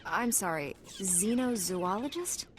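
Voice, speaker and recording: A woman playing a resolute, composed Jedi Knight heroine in a video game, calm and dutiful. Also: medium pitch, slow pace, slight background noise. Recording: game music underneath.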